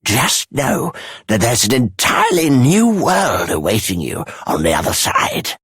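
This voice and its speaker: Husky, swooping, playful mentor. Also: medium pitch, measured pace, no room sound, studio quality, full character voice. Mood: encouraging, playful. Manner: teasing, expressive. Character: friendly mentor sending an adventurer off. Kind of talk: theatrical video game voice acting.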